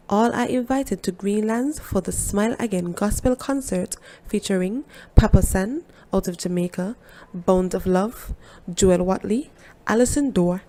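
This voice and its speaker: Mellow-voiced woman, news reporter, scripted narration, mid pitch.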